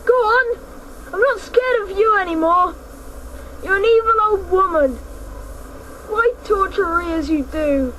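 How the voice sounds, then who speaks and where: High-voiced, defiant young boy. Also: measured pace, pauses often, fairly bright tone, a little hiss or hum, low-quality recording. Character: defiant, frightened young schoolboy hero. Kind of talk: radio drama acting.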